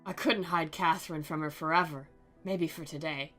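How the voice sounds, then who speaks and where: A self-absorbed teenage anime hero, acted out by a woman, brooding and indifferent. The delivery is sarcastically melodramatic, in a slightly low, bright voice for a visual novel reading. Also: fairly even intonation, moderate pace, faint music behind. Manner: mock-dramatic, sarcastic reading.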